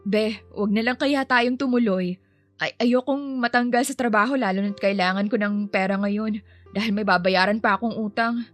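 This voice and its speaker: Woman as young female nanny, polite, animated, friendly, easily flustered, audio drama dialogue, faint music. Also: medium pitch, normal speed, lightly acted. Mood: tearful, nervous. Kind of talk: acted audio story dialogue.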